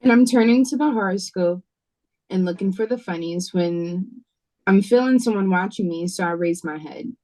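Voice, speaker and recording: A woman's voice: medium pitch, moderate pace, darkish tone, no room sound, close to an everyday voice, poor audio. A woman with an American accent playing a sassy, wary waitress in an audio play read-through. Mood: musing. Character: sassy, wary young waitress working the night shift. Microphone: video call. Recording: close, dry video call audio.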